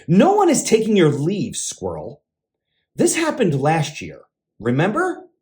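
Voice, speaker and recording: A man voicing a patient, sensible bird in a children's storybook, reassuring and gently firm with a worried friend. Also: on the high side, huge pitch swings, unhurried. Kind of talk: children's picture book read-aloud.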